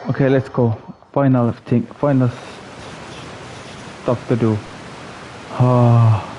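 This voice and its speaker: Flat, mellow male game streamer. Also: medium pitch, takes it slowly, muffled recording, a little hiss or hum. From Finland. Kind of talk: casual live game stream commentary.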